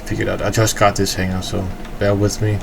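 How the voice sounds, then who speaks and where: A man's voice: lowish voice, monotone, fast talker, gravelly, noisy background. A tired man from Denmark with a Danish accent, rambling with hesitant fillers as he tours his in-game hangar. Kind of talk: casual game walkthrough commentary.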